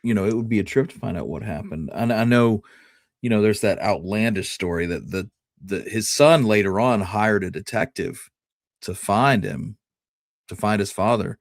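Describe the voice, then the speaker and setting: Guy co-hosting a podcast, low voice, casual musing chat, tosses out questions, dry sound. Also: normal speed, vocal fry, no echo. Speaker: podcast co-host.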